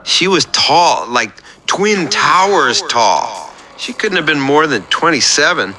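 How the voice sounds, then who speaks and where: A man's voice: on the high side, very animated, slow, sharp tone, faint music behind, heavily acted, low-quality recording. A man playing an earnest, lonely, oversharing oddball client in a radio comedy, rambling in odd detail. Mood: wistful. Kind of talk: scripted radio comedy monologue.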